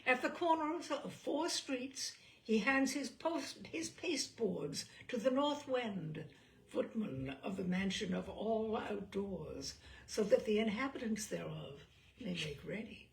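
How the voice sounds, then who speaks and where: Slow, lilting woman storyteller with creaky voice. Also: medium pitch, expressive. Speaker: storyteller reading a short story aloud. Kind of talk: expressive literary story narration.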